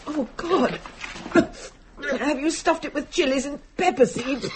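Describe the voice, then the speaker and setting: An actress playing a panicking, distressed dinner guest in a radio drama, gasping and melodramatic. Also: female voice, sits high, measured pace. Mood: panicked. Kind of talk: dramatic radio play acting. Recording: noisy, clicky recording.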